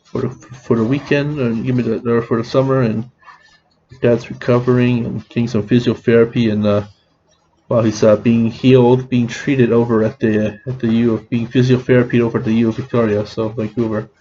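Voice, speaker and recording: A man talks in a loose, rambling vlog, his voice a bit scratchy and mellow. He stops and restarts often, full of fillers. The sound is slightly dull with a faint hiss behind it. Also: mid-range voice, flat delivery. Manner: halting, many restarts and fillers. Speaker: vlogger.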